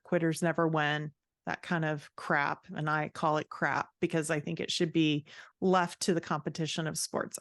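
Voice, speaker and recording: A woman's voice: lowish voice, dry recording, studio quality. A woman writing coach talking conversationally as an interview guest, giving blunt, emphatic advice and acting out quotes as she goes.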